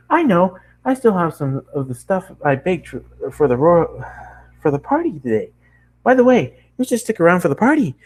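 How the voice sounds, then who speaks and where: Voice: mid-range voice, slightly rough, pitched above the performer's own voice. A narrator voicing a bubbly, hyperactive young female pony baker who gushes and chatters nonstop in a cartoon story. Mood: excited, chattering. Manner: gushing, squealing, breathless rambling chatter.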